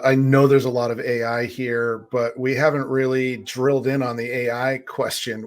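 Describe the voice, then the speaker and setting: A man hosting a business interview in a conversational style. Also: medium pitch. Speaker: interview host.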